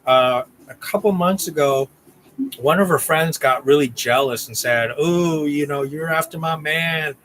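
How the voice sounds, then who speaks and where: A man's voice: fairly high pitch, a little slow, a bit bright, a little hiss or hum, low-quality recording. A man hosting a casual livestream, rambling with restarts and reading out viewer names. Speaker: channel host.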